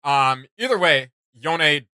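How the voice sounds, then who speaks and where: A man's voice: fairly high pitch, lively intonation, slow, sharp tone, clear, resonant tone, no echo. A man chatting casually and enthusiastically as a reaction host, rambling and slangy. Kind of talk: casual enthusiastic fan chat.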